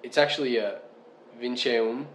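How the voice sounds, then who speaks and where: A man's voice: medium pitch, unhurried, fairly bright tone. A man co-hosting a casual chat, asking curious questions and laughing easily. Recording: echoey room with faint noise.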